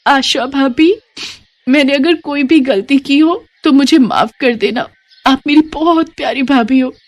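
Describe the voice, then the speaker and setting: A woman's voice: high-pitched, measured pace, darkish tone, pitched above the performer's own voice. A woman voicing a sweet, affectionate young bride in a moral story, speaking tenderly and earnestly. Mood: tender, grateful. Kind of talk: animated moral story voice acting.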